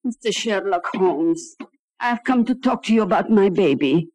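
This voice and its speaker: A woman's voice: mid-range voice, animated, moderate pace, a little mellow, no room sound, natural voice, rough recording. An actress playing a devoted, motherly theatrical dresser, earnest, sentimental and pleading, in 1940s radio drama style.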